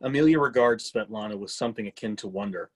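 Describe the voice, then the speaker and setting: A man's voice: on the low side, fast. A man narrating stage directions for a play reading in a scripted style.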